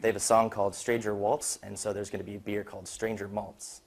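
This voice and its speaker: A man's voice: on the low side, level tone, fast. A young man giving an informal student slide presentation in a casual, conversational way. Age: young adult.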